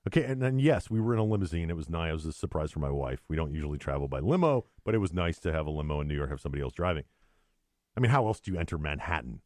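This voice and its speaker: A middle-aged man co-hosting a podcast in casual, joking, sarcastic chat, telling stories with animated delivery. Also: a bass voice, very fast, no echo, studio quality. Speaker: podcast co-host.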